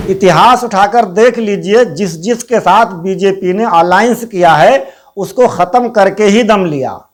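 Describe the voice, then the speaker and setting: A man delivering scripted political commentary in a formal, rhetorical, pointed manner. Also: high-pitched. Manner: rhetorical, pointed questioning, formal Urdu-laced wording. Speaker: political commentary channel host.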